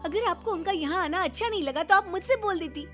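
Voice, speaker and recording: Woman playing an earnest, high-voiced young daughter-in-law. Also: fairly even intonation, measured pace, faint music behind. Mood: hurt, indignant.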